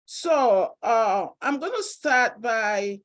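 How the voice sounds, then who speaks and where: A woman's voice: on the high side, animated, extremely slow. A woman from Cameroon with a Cameroonian accent, speaking as a professor giving an informal, personal academic presentation at a seminar.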